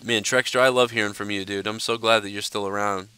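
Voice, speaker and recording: Blunt, ranting man with a bright, clear voice. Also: fairly low pitch, steady tone, fast talker, no room sound. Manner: blunt, ranting, loose and profane. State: stuffed up, a little nasal. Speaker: livestream host answering viewer questions. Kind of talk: casual live-stream chat.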